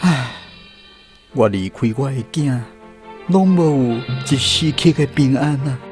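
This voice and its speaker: A man's voice: medium pitch, lively intonation, measured pace, over music, lightly acted. A man with a Taiwanese accent playing a fearful, lost soul in a radio drama, pleading and lamenting theatrically. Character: anguished lost man, fearful and searching for peace.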